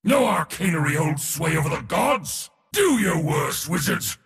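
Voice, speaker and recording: A man voicing a proud, boastful god of war for a video game, gravelly, pompous and theatrical in delivery. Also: fairly high pitch, very animated, a little slow, a bit bright, long reverb tail, full character voice. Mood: haughty, taunting. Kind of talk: video game character voice lines.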